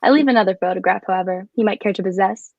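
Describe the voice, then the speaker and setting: A woman's voice: mid-range voice, racing, a little mellow, no room sound, lo-fi sound, close to an everyday voice. A woman acting a clever, bold, flirtatious adventuress in a radio drama, with a poised, formal and coy way of speaking. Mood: poised, triumphant. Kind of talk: adaptation of a 1940s radio drama.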